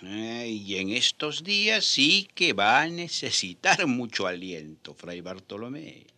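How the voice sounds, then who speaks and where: Animated, kindly convent priest. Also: male voice, mid-range voice, slow pace, heavily acted. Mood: concerned, fond. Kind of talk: radio drama acting.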